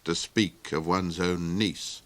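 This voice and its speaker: A man voicing a duke's sly agent, measured, dry and unruffled. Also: low voice, fairly even intonation, pitched below the performer's own voice. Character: sly, calm male agent to a duke.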